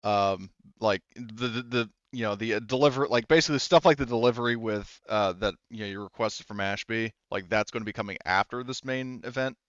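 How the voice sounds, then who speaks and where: Male game master, casual and meandering, clean smooth tone, lo-fi dry sound. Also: fairly low pitch, fairly quick, hardly any pauses, no echo. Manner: rambling, restarts sentences often. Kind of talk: casual tabletop game narration.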